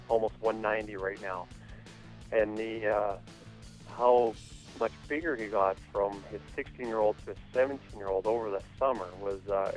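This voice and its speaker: Low-voiced, halting man, a hockey coach. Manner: hesitant, halting, measured. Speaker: hockey coach, interview guest. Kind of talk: phone interview. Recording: phone interview with music underneath.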